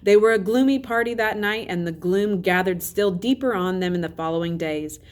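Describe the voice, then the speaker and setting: A woman's voice: medium pitch, normal speed. A woman teacher reading a children's novel aloud as a narrator. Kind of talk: storybook read-aloud narration.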